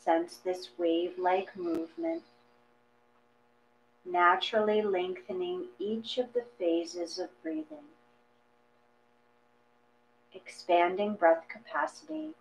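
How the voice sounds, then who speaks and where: A woman reading a guided meditation from a script in a gentle, measured manner, leaving long pauses between phrases. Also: fairly low pitch, animated. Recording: dull lo-fi audio with mains hum.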